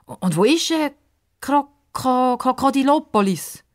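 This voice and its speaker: A woman's voice: high voice, expressive, measured pace, pitched above the performer's own voice. A woman voicing an eager, sceptical young girl in a children's story, direct and questioning, with a Swiss German accent. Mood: curious.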